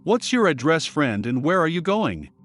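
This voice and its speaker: A man's voice: on the high side, big pitch swings, moderate pace, voice pushed up. A man voicing a menacing junkie stalker who is falsely friendly and insistent. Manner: insistent, unsettling.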